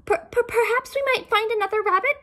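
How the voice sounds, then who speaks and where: Woman doing a squeaky, stammering timid turtle. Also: quick pace, sharp tone. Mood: nervous, stammering. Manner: stammering, hesitant. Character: timid, anxious, kindly turtle servant, male.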